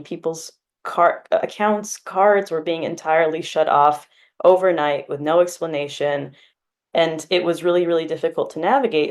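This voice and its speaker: Low-voiced young woman explaining earnestly. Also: a little mellow. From Glendale, California, US. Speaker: law student guest. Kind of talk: podcast interview answers.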